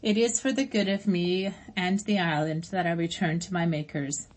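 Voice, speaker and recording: Woman voicing a calm, gentle robot. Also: lowish voice, lightly acted, rough recording. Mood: earnest. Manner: measured, earnest, plainly spoken. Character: gentle wounded female robot, calm and caring. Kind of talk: audiobook character reading.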